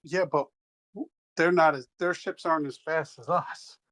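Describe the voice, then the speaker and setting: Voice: mid-range voice, fairly even intonation, moderate pace, fairly bright tone, slight background noise. A player in a tabletop game, chatting casually and thinking aloud with hesitations.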